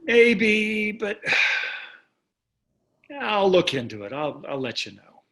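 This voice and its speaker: A man's voice: high voice, very animated, takes it slowly, a bit bright, heavily acted. An older man playing a wealthy, glib and dismissive husband and father in a staged play reading. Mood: reluctant.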